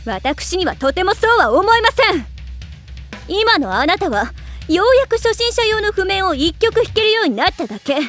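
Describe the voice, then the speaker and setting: Haughty anime young lady, high pitch, animated intonation, prim polite diction, strict, sharp-tongued. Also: normal speed, faint music behind, heavily acted. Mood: stern, scornful. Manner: prim, pompous ojou-sama diction. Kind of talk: anime voice acting.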